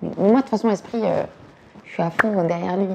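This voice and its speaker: A woman from Belgium in her thirties, talking casually and quickly about music and dance, using slang and repeating words. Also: medium pitch, creaky, lo-fi sound, noisy background. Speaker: actress and director talking about her influences. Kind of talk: casual chat.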